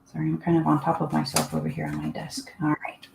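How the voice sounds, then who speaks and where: Woman from finland chatting thru a painting demo at her desk, kinda low voice, echoey room. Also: very animated, quick pace, vocal fry, noisy background. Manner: casual, chatty, thinking aloud while painting. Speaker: art teacher. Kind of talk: live painting demonstration. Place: home desk. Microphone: live online class setup. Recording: roomy echo.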